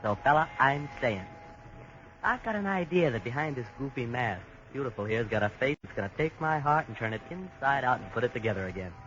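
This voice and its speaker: A man's voice: mid-range voice, animated, fairly quick, fairly bright tone, lightly acted. An actor playing a cocky young tourist in a 1940s radio drama. He wisecracks in slangy, brash talk. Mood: swaggering. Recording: lo-fi 1940s radio broadcast with faint hiss.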